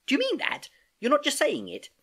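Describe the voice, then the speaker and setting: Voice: sits high, wildly swooping pitch, brisk, fairly bright tone, pitched above the performer's own voice, heavily acted. A storybook reader voicing an earnest, curious schoolgirl niece, eager and questioning, easily exasperated. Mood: anxious.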